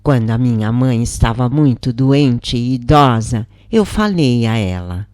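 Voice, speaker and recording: Woman, devotional reader, slow, soft and warm, dry close sound. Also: a bass voice, animated, no echo. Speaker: reader of channeled spirit messages. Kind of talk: slow devotional first-person reading.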